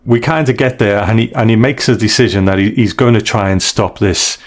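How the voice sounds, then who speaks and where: Man, low voice, casual podcast host, conversational storytelling. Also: a little fast.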